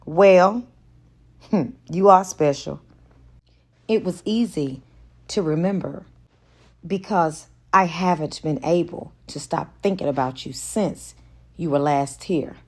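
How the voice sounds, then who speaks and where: Confident romantic lead, a married businessman read by a woman, smooth and teasing, unhurried. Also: fairly low pitch. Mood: flirtatious, admiring.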